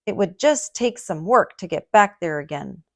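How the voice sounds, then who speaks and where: A woman reading a picture book aloud for children in a dramatic, expressive storytelling manner. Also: fairly low pitch, normal speed, creaky.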